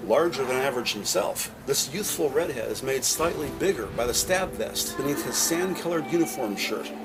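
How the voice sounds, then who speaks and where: A man's voice: mid-range voice, expressive, moderate pace, over music. A man narrating a scripted audio drama in the present tense.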